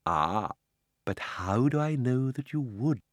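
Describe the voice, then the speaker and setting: A sinister old gentleman magician from a children's storybook. He is oily and mock-courteous, swooping theatrically between drawn-out relish and sudden gushing, in a close, dry sound. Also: medium pitch, lively intonation, no echo, voice pushed up. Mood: wheedling, self-pitying. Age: older. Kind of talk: dramatic audiobook character reading.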